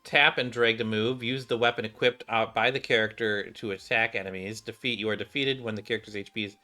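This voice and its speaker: A man's voice: fairly low pitch, fairly even intonation, brisk, pushed and bright. A man hosting a gaming video, commenting casually and reading dialogue aloud. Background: faint game music.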